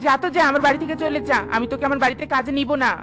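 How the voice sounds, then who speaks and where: A woman's voice: high voice, very fast, lightly acted. A woman voicing a rich, haughty, scolding female bird in a cartoon, with faint music behind. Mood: scornful, dismissive.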